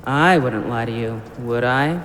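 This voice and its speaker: A man's voice: mid-range voice, measured pace, a bit bright, close to an everyday voice. An actor playing the luring ghost of a dead man in an audio drama, persuasive and soothing yet menacing. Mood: coaxing, menacing. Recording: long reverb, noisy ambience.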